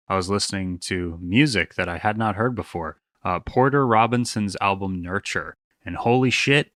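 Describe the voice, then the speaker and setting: A man's voice: lowish voice, pushed and bright, dry recording, clean studio sound. A man hosting a commentary video in an informal, opinionated way, moving between scripted recap and casual asides. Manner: informal, opinionated, mild swearing. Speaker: host. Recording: music under the voice. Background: background music.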